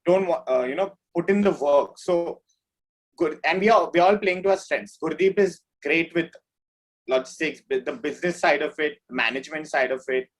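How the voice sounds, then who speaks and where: Slightly high-voiced man explaining casually. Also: moderate pace, poor audio. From India. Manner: informal, explanatory. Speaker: band drummer, interview guest. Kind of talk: casual interview chat.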